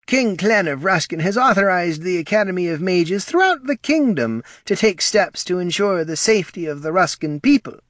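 A man's voice: a little high, big pitch swings, unhurried, fairly bright tone, no echo, studio quality, voice pushed up, exaggerated voice. A man voicing a haughty, sour old female mage counselor in a fantasy audiobook, with a stern, sardonic manner. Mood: pompous.

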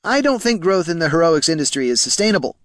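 A man voicing a timid, deferential fantasy clerk with a squeaky, anxiously formal manner in an audiobook. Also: big pitch swings, quick pace, no room sound, studio quality. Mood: nervous, squeaky. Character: nervous, deferential male Scribkin financial clerk.

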